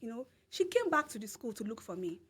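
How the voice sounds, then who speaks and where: Nigerian woman guest, slightly high and breathy, emphatic and repetitive storyteller. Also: very animated, fast, a bit bright. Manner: emphatic, repeats phrases, laughs through story. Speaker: podcast guest. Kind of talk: storytelling in casual interview.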